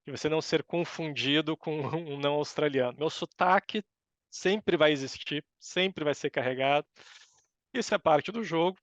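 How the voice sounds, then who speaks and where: A middle-aged man with a Brazilian Portuguese accent giving thoughtful, halting answers as an interview guest. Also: a little high, fairly bright tone, no echo. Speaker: interview guest, university professor. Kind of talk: conversational interview answers, reflective.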